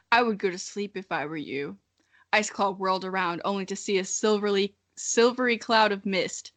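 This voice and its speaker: A woman's voice: mid-range voice, normal speed, fairly bright tone. A woman in her early twenties, an informal, giggly podcast co-host who chats casually and reads aloud. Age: early 20s. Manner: giggly, informal, often breaking into laughter. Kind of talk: casual chat and dramatic reading of childhood writing.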